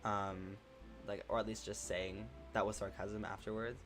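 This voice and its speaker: A man hosting a relaxed livestream, reading chat messages aloud and answering them supportively, with many fillers. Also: fairly low pitch, moderate pace, music in the background. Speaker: livestream host.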